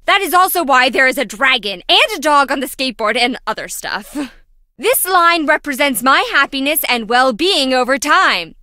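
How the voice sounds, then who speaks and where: An actress playing a quirky, excitable young lab engineer in a video game, chatty, blunt and wry. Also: female voice, high voice, moderate pace, dry recording, clean studio sound. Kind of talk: video game character voice acting.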